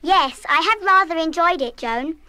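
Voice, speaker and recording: A woman's voice: very high-pitched, lively intonation, normal speed, bright, forceful tone, no echo, full character voice. An actress playing a headstrong, mischievous English schoolgirl in a radio play, plucky and well-spoken. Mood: cheerful. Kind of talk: full-cast children's radio play acting.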